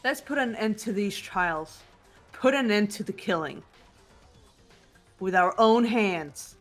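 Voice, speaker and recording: A woman voicing a cool, composed teenage girl detective in a video game, measured, serious and earnest. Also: medium pitch, lively intonation, faint music behind, pitched below the performer's own voice. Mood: resolute. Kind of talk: fan voice acting of a video game script.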